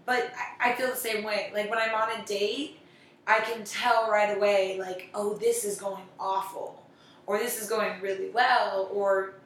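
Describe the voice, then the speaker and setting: Casting: chatty American woman, bright voice, caught far off in an echoey room. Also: medium pitch, fairly even intonation, measured pace. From United States. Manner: chatty, swears casually, laughs often. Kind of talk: casual chat.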